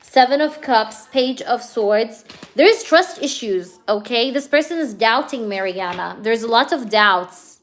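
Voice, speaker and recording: A woman's voice: on the high side, animated, a little slow. Hyper woman doing a live tarot stream at home, lots of you know and okay, checks in with the viewer. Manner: chatty, reassuring, many fillers like "you know" and "okay"; addresses the viewer directly, asks if things resonate. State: hyper, energetic. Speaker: tarot reader.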